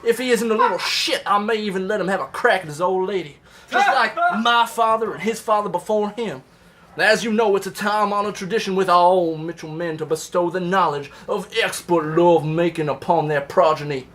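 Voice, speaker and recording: A man's voice: high voice, brisk, fairly bright tone. A man playing a lewd, boastful Confederate soldier in a comic reading of letters home, with a folksy, florid manner of speech. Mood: giddy boastful pride. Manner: folksy, old-timey, florid. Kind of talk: comedic live reading of love letters.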